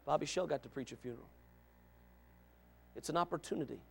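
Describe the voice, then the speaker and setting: A man preaching a revival sermon, loud and shouting, with joking asides to the congregation. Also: on the high side, fast, creaky, a little hiss or hum. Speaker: pastor.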